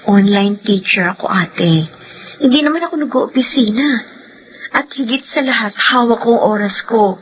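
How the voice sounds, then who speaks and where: A woman voicing a supportive younger sister who reassures her older sister in a fairly high voice. A dull, lo-fi radio sound has faint noise beneath. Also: moderate pace, natural voice. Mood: reassuring. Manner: reassuring, warm. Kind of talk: radio drama acting.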